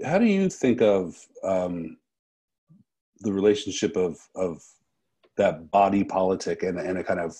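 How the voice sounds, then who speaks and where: A man hosting an unscripted interview, speaking haltingly and rephrasing his questions as he goes. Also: lowish voice, dark tone, slightly rough. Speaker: interviewer and festival host.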